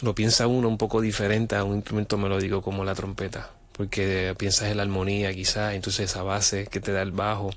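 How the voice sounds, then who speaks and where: A man with a low-pitched voice hosting an interview. He talks in an easygoing way, often stopping and restarting his sentences as he asks questions. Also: brisk, few pauses. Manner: relaxed, many restarts and backchannels. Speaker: interview host. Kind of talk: casual interview.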